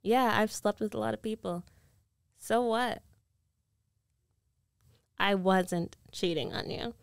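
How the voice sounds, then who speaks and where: A woman's voice: medium pitch, slow pace, creaky, clean tone, dry recording, clean studio sound. A woman playing a confident, possessive rich ex-girlfriend in an ASMR roleplay, coaxing and teasing in a self-assured way. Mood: insistent, possessive.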